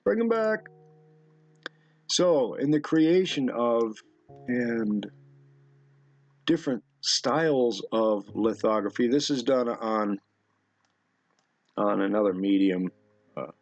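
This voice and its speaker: A middle-aged man giving rambling off-the-cuff commentary in a halting, musing way, as if talking to himself at his computer. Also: on the low side, gravelly, a little mellow, no echo, lo-fi sound. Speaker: video host. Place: indoor room at a computer.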